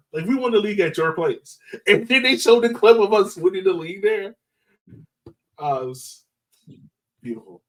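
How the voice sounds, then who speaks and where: A man hosting a sports podcast in casual, chatty, slangy talk. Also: fairly high pitch. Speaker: podcast host. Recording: voice slightly far from mic.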